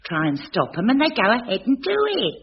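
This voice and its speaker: An actress playing a morbid, matter-of-fact working-class London woman in a radio sitcom, with a deadpan manner. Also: medium pitch, a little fast, slightly rough. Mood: deadpan knowing. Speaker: comic actress. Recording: dull, noisy old radio recording, audience behind.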